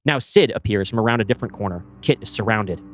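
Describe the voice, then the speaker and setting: A man reading his screenplay aloud, with brisk scene-setting narration. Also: on the low side, no room sound, soft background music. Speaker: writer pitching a screenplay.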